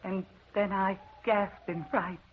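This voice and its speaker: An actress playing an anxious, haunted wife in a melodramatic old-time radio drama. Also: female voice, medium pitch, flat delivery, slow pace, lightly acted. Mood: haunted, brooding. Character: anxious, haunted wife recounting supernatural terrors. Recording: muffled, noisy old radio recording.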